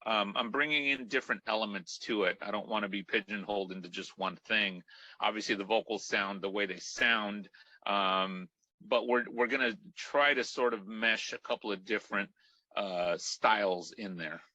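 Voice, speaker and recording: A man's voice: lowish voice, moderate pace, sharp tone, no echo, rough recording. A man from Mexico, a Spanish speaker, chatting loosely as an interview guest with many fillers and restarts. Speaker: guest musician. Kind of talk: casual interview chat.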